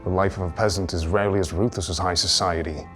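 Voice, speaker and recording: A proud, honourable duke from a period romance. His voice is deep and his delivery formal and measured, with quiet command. Music plays clearly behind him. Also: steady tone. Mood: sympathetic. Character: proud, honourable male duke, guarded but chivalrous. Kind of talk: period romance audio drama acting.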